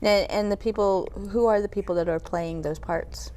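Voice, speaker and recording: A woman's voice: medium pitch, moderate pace, mellow. A woman hosting a TV talk show, interviewing in an informal, hesitant way. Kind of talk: casual interview.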